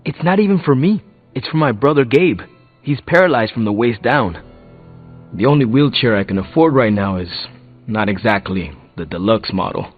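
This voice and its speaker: A man as an animated, earnest young hero. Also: medium pitch, normal speed, narrow, tinny audio, lightly acted. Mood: earnest, hesitant. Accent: American. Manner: casual, rambling, hesitant. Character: earnest, starstruck young male hero, casual and self-conscious. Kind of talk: video game voice acting. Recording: thin band-limited audio, faint music.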